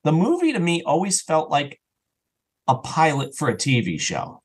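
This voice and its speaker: A man talking as a podcast guest in a casual, enthusiastic and animated way, slipping into imagined remarks as an audio drama creator. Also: a little high, moderate pace, husky. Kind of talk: casual enthusiastic interview chat.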